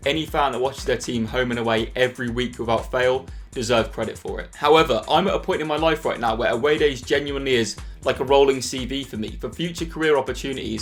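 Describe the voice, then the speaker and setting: Brief: young English guy, rapid candid vlog delivery, mid-pitched voice, faint music underneath. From England. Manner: candid, earnest, quick-talking. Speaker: football vlogger. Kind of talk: casual vlog to camera.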